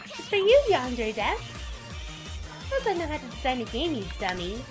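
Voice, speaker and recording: A woman gives voice to a scheming young girl from a visual novel who teases with a high, lilting lift. Her pitch leaps around freely. A little music plays softly underneath. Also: huge pitch swings, moderate pace. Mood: teasing. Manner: teasing, perky and high. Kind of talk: voicing a visual novel character.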